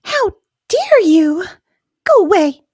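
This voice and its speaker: A woman's voice: extremely high pitch, very animated, slow, a little mellow, dry recording, studio quality, heavily acted. A woman voicing Tinker Bell, a tiny, foul-mouthed, indignant fairy with a haughty, snappish manner.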